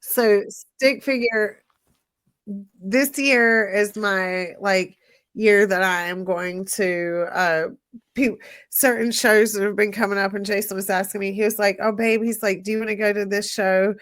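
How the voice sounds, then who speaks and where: A woman host in a casual music chat, speaking at an ordinary pitch for a woman. She gushes and rambles with plenty of filler words, and she sounds sniffly from crying. The recording is dry and close. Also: steady tone, measured pace, fairly bright tone, no echo. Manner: gushing, emotional, rambling with many likes. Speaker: podcast host.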